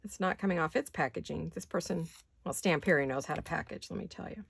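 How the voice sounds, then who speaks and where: Raspy-voiced woman chatting while crafting. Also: low-pitched, quick pace. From California. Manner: chatty, talks to herself while crafting, drops into whispers. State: raspy voice from allergies. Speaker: craft vlogger. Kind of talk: casual craft chat while working. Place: home craft studio.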